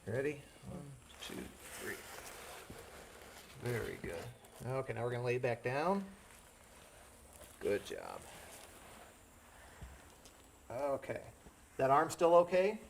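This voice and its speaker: A man's voice: mid-range voice, huge pitch swings, unhurried, vocal fry, long pauses, a little hiss or hum. A man working as an EMT, talking a child patient through each step simply and reassuringly. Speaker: EMT with a rescue squad.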